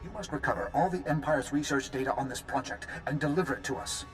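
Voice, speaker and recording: An actor playing a grave, urgent colonel in a video game, speaking in an earnest, commanding way. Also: male voice, mid-range voice, quick pace, faint music behind, lightly acted. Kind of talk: video game cutscene acting.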